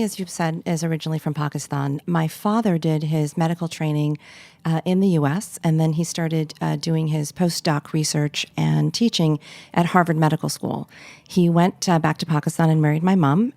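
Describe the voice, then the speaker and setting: A woman's voice: deep voice, gravelly, warm tone, no echo. A woman guest telling stories in an interview, candid and wry. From Marquette, Michigan, US.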